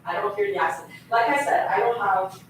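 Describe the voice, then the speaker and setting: A woman's voice: medium pitch, lively intonation, fairly quick, distant mic, slight background noise. A young woman from Turkey chatting with her live stream audience while she cooks, giggly and talkative, speaking to the food as she goes. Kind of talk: casual live stream chat while cooking. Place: home kitchen. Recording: echoey room, mic set back.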